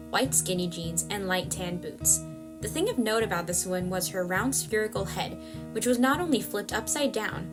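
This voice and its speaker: A woman reading scripted narration for a story as its narrator. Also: medium pitch. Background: music bed.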